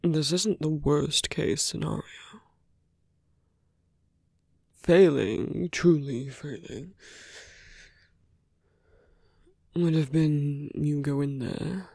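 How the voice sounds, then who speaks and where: Man acting a breathy, suave villain. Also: fairly high pitch, darkish tone, dry recording, studio quality, heavily acted. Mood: coaxing, persuasive. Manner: measured, rhetorical, lapsing into breathy near-whispers. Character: suave male villain, persuasive, teasing, self-justifying. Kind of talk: ASMR roleplay audio drama.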